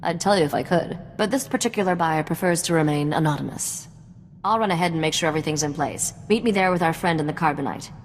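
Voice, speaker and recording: A woman's voice: low-pitched, quick pace, big-room sound, slight background noise, close to an everyday voice. A woman playing a guarded, confident young smuggler's associate in a video game, controlled and matter-of-fact. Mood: cool, businesslike.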